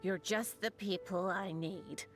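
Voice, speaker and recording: A woman's voice: medium pitch, slow pace. A woman playing a kindly, weary elderly village granny in a video game. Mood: weary, pleading. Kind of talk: video game character dialogue. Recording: game music underneath.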